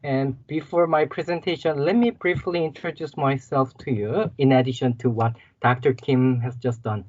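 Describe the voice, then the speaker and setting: A man's voice: mid-range voice, fairly even intonation, normal speed, a little mellow, no room sound, dull audio. A man from South Korea with a Korean accent, giving a guest lecture as a university lecturer and explaining each term step by step. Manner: explanatory, repeats key terms, frequent "okay" and "so".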